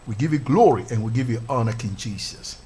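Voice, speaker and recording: A man's voice: mid-range voice, expressive, brisk, warm tone, slightly rough. A man, a pastor hosting a church radio show, interviewing a guest and praying in a fervent, formal way. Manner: fervent, formal, repeats hallelujah.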